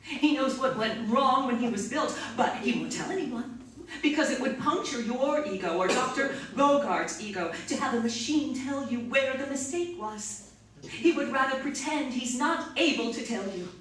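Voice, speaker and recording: A woman's voice: high voice, normal speed, a bit bright. A woman acting as a bitter, coldly relentless robot scientist in a radio drama, with intense, biting, dramatic delivery. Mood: grim, explaining. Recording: echoey, distant, faint noise.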